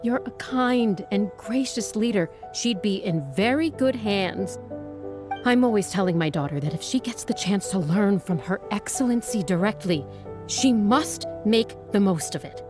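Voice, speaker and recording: A woman's voice: mid-range voice, lively intonation, lightly acted. A woman voicing a chatty, proud mother who gushes eagerly. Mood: gushing. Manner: gushing, fast-talking. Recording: game audio over music. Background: background music.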